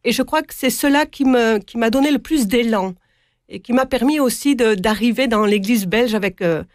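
Nun guest, southern French accent, slightly high, earnest, dry close sound. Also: female voice, normal speed, dry recording. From south-east France. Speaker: religious sister. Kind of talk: interview answers.

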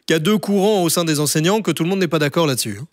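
A man hosting a morning radio phone-in, briskly pressing callers with questions. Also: fairly high pitch, no room sound, clean studio sound. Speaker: radio host.

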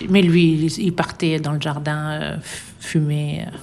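A woman's voice: deep voice, flat delivery, creaky, a little mellow. Thoughtful, hesitant woman musician. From France. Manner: thoughtful, hesitant with many pauses, light laughs. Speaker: interviewee, violinist. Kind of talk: relaxed radio interview.

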